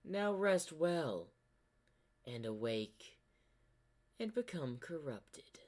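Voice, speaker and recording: Guy doing an evil zebra boss for a cartoon fan story, slow and ominous, giving orders all menacing. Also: on the high side, sing-song, a little mellow, voice pushed up, exaggerated voice. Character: sinister corrupted male zebra, commanding, menacing dark mastermind.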